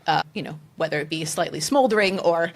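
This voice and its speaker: A woman in her forties with an American accent speaks in a low voice. She tells her story with lively enthusiasm as a podcast guest. French was the language she grew up speaking at home. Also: fairly quick. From United States. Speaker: general counsel, guest. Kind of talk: podcast interview.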